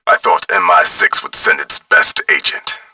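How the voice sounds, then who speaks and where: A man's voice: high voice, huge pitch swings, a little slow, sharp tone, dry recording, far from the mic, soft background music, full character voice. A man playing a menacing spy-thriller villain in a video game, mocking and theatrical. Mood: mocking menace.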